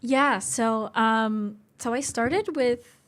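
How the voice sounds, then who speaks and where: A woman's voice: on the high side, very slow, fairly bright tone. A woman talking casually as a podcast guest, explaining her teaching work. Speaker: guest, youth theatre instructor.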